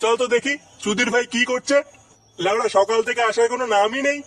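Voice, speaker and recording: A man voicing a foul-mouthed, bossy village man in a comedy cartoon, gruff and scolding. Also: very high voice, fast, a bit bright. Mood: businesslike. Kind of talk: exaggerated cartoon dubbing.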